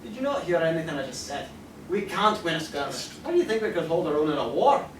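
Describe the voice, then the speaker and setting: A man's voice: fairly high pitch, very animated, quick pace. A man playing a sceptical, weary, cautious pony in a radio play read-through, questioning and exasperated. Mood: doubting. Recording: echoey convention room, distant noisy mic.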